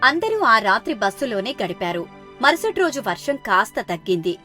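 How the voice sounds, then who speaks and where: A woman's voice: on the high side, big pitch swings, moderate pace, sharp tone, soft background music. A woman storyteller reading a scripted children's moral story.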